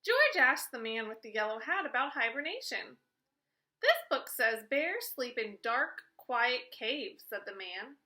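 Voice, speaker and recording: A woman reads a picture book aloud with a bright, high voice. Her delivery is expressive and aimed at young children, with melody that swings widely from phrase to phrase. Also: a little slow. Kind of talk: read-aloud children's storytelling.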